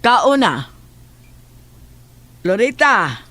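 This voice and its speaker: A woman acting as a vengeful middle-aged mother in a horror radio play. Her husky voice swings widely as she menaces and commands, taking her time over the words. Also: fairly high pitch, big pitch swings, extremely slow, pushed and bright, no room sound, heavily acted. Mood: scolding, commanding. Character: vengeful, domineering mother plotting murder. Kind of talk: horror audio drama acting.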